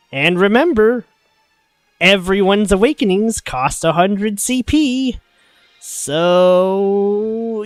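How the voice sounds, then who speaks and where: A sniffly man talking through a game with a bouncy, lively pitch that sits a bit high, taking his time over each thought. He swears casually and laughs a lot between points. A little music plays faintly under his dry, close voice. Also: dry recording. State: sniffly, coming down with a cold. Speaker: streamer.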